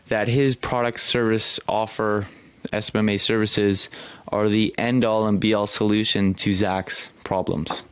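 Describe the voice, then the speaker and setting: A man's voice: lowish voice, smooth, no echo. A man commenting on a recorded sales call as a coach, critiquing bluntly and praising with enthusiasm. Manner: direct, critiquing, animated praise. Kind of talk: commentary over a video.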